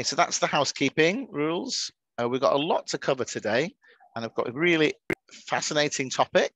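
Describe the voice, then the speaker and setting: A man's voice: fairly low pitch, fairly bright tone, dry recording. A man hosting an online teachers' webinar in a friendly, chatty way, often restarting his sentences, heard over a video call. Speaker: webinar moderator.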